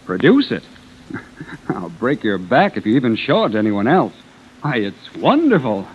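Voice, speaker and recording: A man's voice: medium pitch, wildly swooping pitch, moderate pace. An actor playing an effusive, emphatic male theater producer in a 1950s radio drama. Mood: excited, admiring. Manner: gushing, emphatic. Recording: muffled noisy vintage radio recording.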